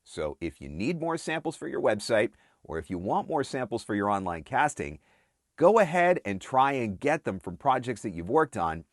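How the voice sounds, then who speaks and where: A man's voice: medium pitch, very animated, moderate pace, no room sound, clean studio sound. A man coaching in a direct advice-video style, emphatic and repeating words for stress. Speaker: voice-over marketing coach and video host. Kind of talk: direct-to-camera advice talk.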